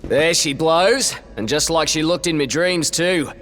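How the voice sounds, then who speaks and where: An actor playing a brash, greedy treasure-seeker in a video game, with a cheeky, slangy way of talking. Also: male voice, fairly high pitch, measured pace, fairly bright tone, soft background music. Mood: greedy, pushy. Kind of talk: scripted video game cutscene acting.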